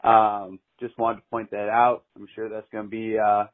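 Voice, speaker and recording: Over a thin, tinny phone line, a man leaves a casual voicemail. His voice is fairly low and flat in pitch, with a matter-of-fact delivery. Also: normal speed. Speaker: caller leaving a voicemail.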